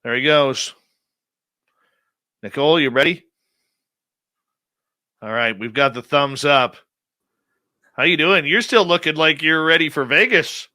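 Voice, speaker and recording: A man's voice: a little high, measured pace, pauses often, dry recording, studio quality. A man hosting a radio show, joking and bantering casually with guests. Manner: joking, playful, animated.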